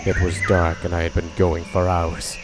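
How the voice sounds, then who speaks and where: A man's voice: sits low, completely flat delivery, moderate pace, background noise, lightly acted. A man acting as a hunted fugitive, narrating his desperate flight in an intense, suspenseful radio drama style. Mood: grim, tense. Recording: loud music and insects behind voice.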